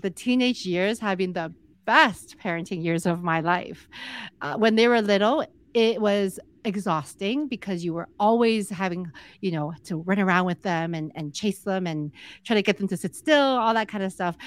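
A soft-spoken woman in her forties, a guest explaining her views in a measured, easygoing interview. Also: medium pitch, animated, no room sound. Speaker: podcast guest, entrepreneur. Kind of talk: casual interview conversation.

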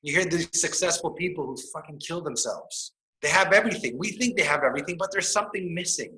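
Man in his forties, forceful bright voice, slightly high, motivational and emphatic, echoey room. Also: fast. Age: 40s. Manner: emphatic, motivational, swears freely. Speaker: fitness coach and guest. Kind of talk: casual podcast conversation.

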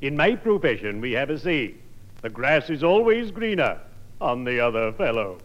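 A man's voice: medium pitch, big pitch swings, normal speed, natural voice. Man as a swooping, ghoulishly courtly undertaker. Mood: ghoulishly cheerful. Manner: formal, pompous, macabre-genteel. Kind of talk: 1950s radio comedy acting. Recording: noisy, muffled vintage radio broadcast.

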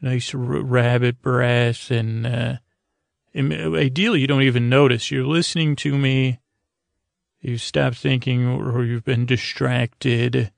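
Very creaky, meandering male podcast host. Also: medium pitch, flat delivery, dry recording, clean studio sound. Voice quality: creaky, gravelly. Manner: meandering, halting, repeats and stammers; goofy, oddball. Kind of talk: rambling bedtime-story monologue.

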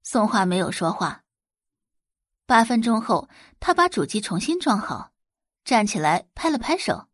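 A woman's voice: medium pitch, very animated, measured pace, dry recording, clean studio sound. A woman reading scripted, dramatic story narration for an audio drama.

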